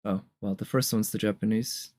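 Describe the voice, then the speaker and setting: A man's voice: lowish voice, fairly even intonation, normal speed, a little mellow. A man giving casual, off-the-cuff live commentary as a streamer reacting to game trailers, musing as he goes. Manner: off-the-cuff musing, sometimes humming tunes. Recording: music playing behind the voice. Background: game trailer music with guitar.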